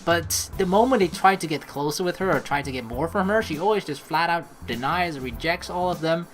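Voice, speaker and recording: A woman's voice: lowish voice, fairly quick, over music. A young woman doing casual, sweary let's play commentary and reading a visual novel's narration aloud. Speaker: let's player.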